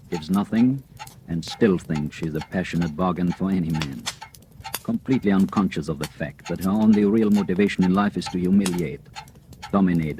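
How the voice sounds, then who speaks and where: An actor playing a scheming male doctor who calmly narrates his plan to murder, in 1940s radio drama style. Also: deep voice, natural voice. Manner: cold, measured first-person narration. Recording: old radio recording with noise.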